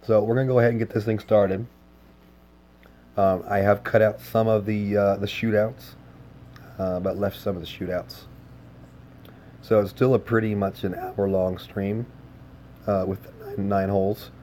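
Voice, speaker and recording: A man with a hoarse, worn voice chatting casually with his livestream viewers, easygoing and full of filler words. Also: lowish voice, flat delivery, a little slow, pauses often, dark tone, a little hiss or hum. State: hoarse, lost voice. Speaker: game streamer.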